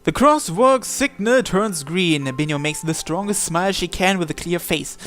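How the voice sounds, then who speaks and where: A man with a high-pitched voice narrates a visual novel, reading the story text aloud. Game music plays behind him. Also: lively intonation, normal speed, fairly bright tone.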